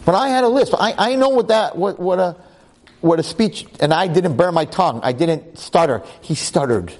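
A man's voice: sits high, expressive, moderate pace. A man giving a Torah lecture to a class, emphatic and dramatic, repeating phrases and asking rhetorical questions. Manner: public speaker.

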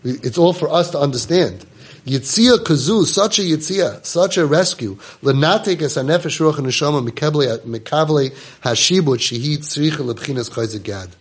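Husky-voiced rabbi giving an animated class. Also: male voice, a little high, normal speed. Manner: animated, mixes Hebrew and Yiddish words into English. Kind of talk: Torah class lecture.

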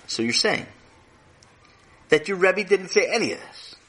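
An exasperated mother interrogates her son, as a male storyteller puts her on, her raised voice husky and bright. She swoops up high with every disbelieving question. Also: expressive, a little slow, full character voice. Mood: incredulous.

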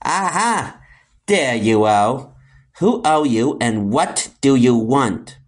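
Man acting an earnest good-natured hero, mock-heroic, animated intonation, children's audio comedy. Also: mid-range voice, slow pace. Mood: indignant, determined.

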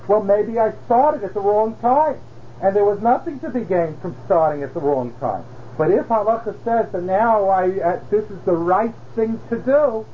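Man, rabbi teaching, high-pitched, patient explaining, dull lo-fi recording. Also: slight background noise. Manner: patient, explanatory, rhetorical questions. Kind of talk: Torah class explanation.

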